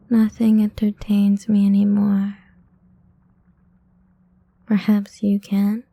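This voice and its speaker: Breathy, dark vampire queen, acted by a woman. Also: mid-range voice, no echo. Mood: possessive, haughty. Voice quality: breathy, husky whisper. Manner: slow, teasing, commanding. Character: seductive, domineering ancient vampire queen, possessive and taunting. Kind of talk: intimate ASMR roleplay, mostly whispered.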